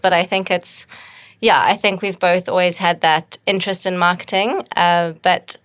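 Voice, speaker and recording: A woman from Zimbabwe with a somewhat low voice, co-hosting a casual podcast. She talks in a relaxed way, with ums and repeated words. The recording is dry and close. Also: no echo. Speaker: podcast co-host, agency co-founder.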